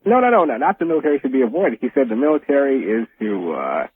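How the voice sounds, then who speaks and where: An American man calling a radio show by telephone, speaking casually in a halting, hesitant way and repeating himself. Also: mid-range voice, big pitch swings, slight background noise. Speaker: radio phone-in caller. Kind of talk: casual phone-in talk. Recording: narrow, tinny phone line on radio.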